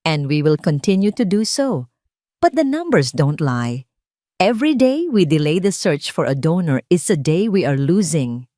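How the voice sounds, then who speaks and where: A woman voicing a gentle, grave senior hematologist, a man, in an audio drama, measured and factual, with a Filipino accent. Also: sits low, big pitch swings, dry recording, studio quality. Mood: regretful, firm.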